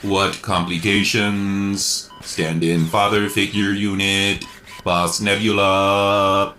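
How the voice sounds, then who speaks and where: A man playing a grief-stricken, self-blaming cyborg boy hero in a radio serial, with a halting, solemn, robotic delivery. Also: low-pitched, level tone, sharp tone, slight background noise, full character voice. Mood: confused, anxious. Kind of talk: mock radio serial acting.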